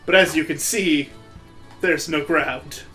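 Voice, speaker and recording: Sarcastic man with a higher voice over game music. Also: takes it slowly, bright, forceful tone. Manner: sarcastic, mocking. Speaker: let's play host playing the game. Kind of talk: casual joking game commentary.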